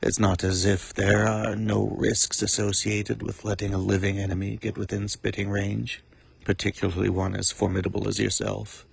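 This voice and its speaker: Man playing a gravelly, low-voiced supervillain. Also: no echo, exaggerated voice. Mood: calm, menacing. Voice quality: gravelly, husky. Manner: deliberate, formal, menacingly calm. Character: cold, calculating male supervillain, eloquent and self-important. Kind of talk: dramatic monologue reading.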